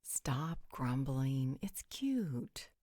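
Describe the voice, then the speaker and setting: A composed, gently teasing vampire woman acted by a woman in a roleplay drama. Her voice sits low, with a soft dark tone. It is recorded very dry. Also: very animated, drawn-out words, no echo, exaggerated voice. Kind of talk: roleplay audio drama acting.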